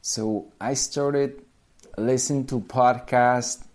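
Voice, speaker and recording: A man's voice: medium pitch, steady tone, extremely slow, darkish tone. A man in his forties from Poland with a Polish accent, speaking English as a second language, talking casually to camera as a language teacher, repeating himself with small grammar slips.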